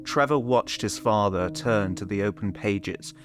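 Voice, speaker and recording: A man's voice: on the low side, unhurried, music in the background. A man reading scripted audio fiction as the story's narrator.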